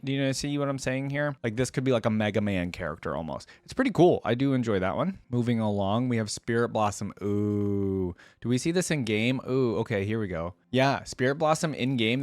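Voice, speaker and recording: A man giving casual reaction commentary as a video host, thinking out loud in an informal way. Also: medium pitch, dry recording.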